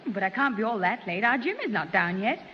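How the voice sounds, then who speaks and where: An actress playing a teasing, sardonic grown-up sister in 1960s radio comedy. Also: female voice, mid-range voice, animated, fairly quick, lightly acted. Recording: dull 1960s radio recording.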